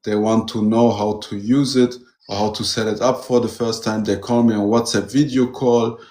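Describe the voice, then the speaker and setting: A man's voice: on the low side, fairly even intonation, moderate pace, a little mellow. A man from Germany, a guest who runs a metal detector shop, talking casually and eagerly and often saying "you know". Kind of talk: casual interview chat. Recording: roomy echo.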